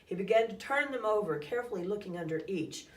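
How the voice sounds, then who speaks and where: A woman's voice: mid-range voice, lively intonation, fast. Echoey, distant woman reading a storybook. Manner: dramatic, acts out calls and sound effects. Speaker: storyteller reading a children's book aloud. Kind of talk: expressive read-aloud storytelling. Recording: echoey room, distant microphone.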